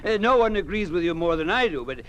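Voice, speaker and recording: Glib, high-pitched director, noisy recording. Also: male voice, expressive, fast, a bit bright. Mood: placating. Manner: soothing, glib reassurance with hedging. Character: smooth, genial, evasive male institute director. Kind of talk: 1950s radio drama acting. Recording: noisy old radio broadcast recording.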